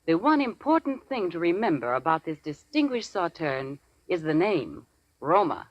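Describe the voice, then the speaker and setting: A woman's voice: lowish voice, very animated, normal speed, no room sound. A woman reading a scripted commercial in a 1940s radio style. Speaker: commercial announcer. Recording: dull old radio recording.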